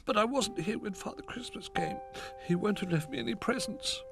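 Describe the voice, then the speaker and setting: A small, eager bear cub with a high, breathless voice that jumps all over the place, as a man puts him on. He speaks in excited, whispery bursts. Gentle music plays behind him. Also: normal speed, vocal fry. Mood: rueful. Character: small young male teddy bear, eager and timid.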